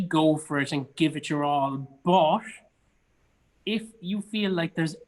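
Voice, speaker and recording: A man's voice: fairly high pitch, poor audio. A man with a Cork Irish accent, chatting casually as an interview guest in an emphatic way, repeating phrases for stress. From Cork, Ireland. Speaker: interview guest, stage actor. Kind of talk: casual chat. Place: remote online video chat.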